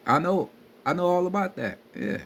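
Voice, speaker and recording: A man's voice: sits high, expressive, normal speed, gravelly. A young man giving a casual, profane lecture on spiritual ideas, talking emphatically and repeating himself. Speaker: spiritual teacher. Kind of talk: casual street-style lecture.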